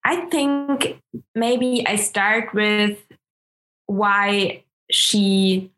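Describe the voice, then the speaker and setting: A woman with a German accent, her first language German, talks slowly in an interview. She pauses on many ums and restarts her sentences as she searches for words. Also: medium pitch, a bit bright. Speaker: guest, astrologer. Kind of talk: interview conversation.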